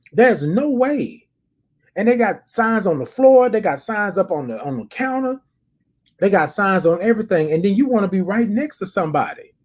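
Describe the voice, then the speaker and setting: A man's voice: high voice, darkish tone, slightly rough. An American man hosting a casual podcast, chatting and telling stories straight to his listeners, repeating phrases for emphasis. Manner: addresses listeners directly as an audience. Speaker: podcast host. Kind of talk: casual chatty check-in and storytelling.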